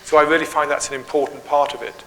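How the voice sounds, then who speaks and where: Calm man speaking in a reverberant hall. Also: fairly high pitch, fast talker. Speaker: workshop leader. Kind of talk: conference Q&A and talk. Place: conference hall. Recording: hall reverb, faint ambient noise.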